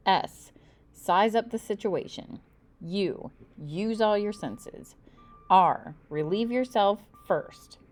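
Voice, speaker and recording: A woman's voice: mid-range voice, a little mellow. A woman reading the part of a confident uncle who instructs children in survival tips, in a children's storybook. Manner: emphatic, instructive. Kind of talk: children's storybook read-aloud.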